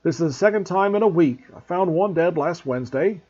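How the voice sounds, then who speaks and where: A man voicing a huge rough-spoken male gamekeeper, loud and growling with rustic, dropped-letter speech in a husky tone, on a dull lo-fi recording. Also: high voice, expressive, a little fast, pitched above the performer's own voice. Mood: earnest.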